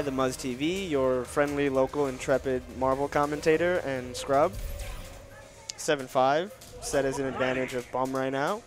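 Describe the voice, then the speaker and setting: Nasal young-sounding male commentator. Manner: deliberately speaking lower than usual; casual, reads out and answers viewer questions. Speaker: stream commentator. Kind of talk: casual stream commentary reading chat.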